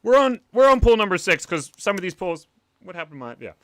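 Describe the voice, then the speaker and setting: A young man with a New York accent, chatting excitably on a livestream while opening comic packs, with a cartoonish way of talking. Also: high voice, quick pace, dry recording. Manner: excitable, animated, talks like a cartoon character. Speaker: comic collector streamer. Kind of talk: casual livestream chat.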